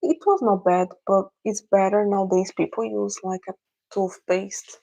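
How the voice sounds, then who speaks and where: A young Brazilian woman with a Portuguese accent, answering viewers casually on a livestream in careful, halting English. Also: mid-range voice, fairly even intonation, vocal fry, dark tone, lo-fi sound. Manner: halting, searching for English words. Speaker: livestream host.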